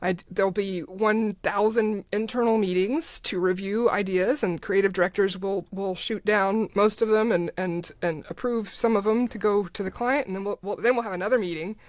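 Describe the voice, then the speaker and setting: A woman's voice: medium pitch, fairly even intonation, normal speed, few pauses, no room sound. A woman talking casually as a podcast guest, explaining things informally and dropping in little quoted asides. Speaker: podcast guest, writer and advertising creative. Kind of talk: casual conversational interview, explaining.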